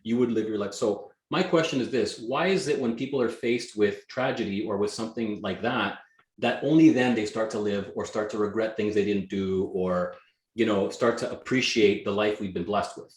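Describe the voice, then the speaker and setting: A man's voice: lowish voice, normal speed. A Canadian-born man whose first language was Greek, talking energetically and emphatically as a guest in a casual interview. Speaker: guest, real estate team leader. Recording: echoey room.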